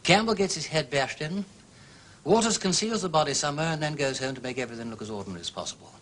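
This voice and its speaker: An actor playing an urbane, breezy gentleman detective with a plummy upper-class English accent, arch and courteous, in a period mystery drama. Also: mid-range voice, slight background noise, close to an everyday voice. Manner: suave, arch, theatrical, plummy.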